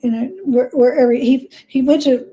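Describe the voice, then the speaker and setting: A woman's voice: high voice, fairly even intonation, moderate pace, mellow. A woman speaking as an interview guest, telling anecdotes conversationally and acting out bits of dialogue. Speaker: guest biographer.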